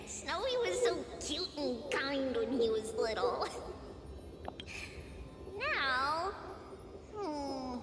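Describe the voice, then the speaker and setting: A very high, sweet girlish spirit. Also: full character voice. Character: sweet, nurturing girlish female spirit, fondly encouraging. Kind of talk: scripted fantasy game voice acting. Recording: echoing reverb under loud music.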